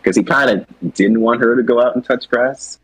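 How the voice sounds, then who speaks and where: A young man co-presenting an animated series project in a casual, joking, slangy and teasing chat. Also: medium pitch, moderate pace, slightly rough, no room sound, low-quality recording.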